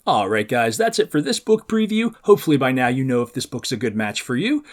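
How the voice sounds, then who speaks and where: A man with a very lively, swooping delivery and a medium-pitched voice. He hosts a read-aloud show for young readers in an upbeat presenting style, leaning on words for dramatic effect. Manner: expressive, dramatic emphasis with drawn-out words. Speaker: host of a children's book preview show.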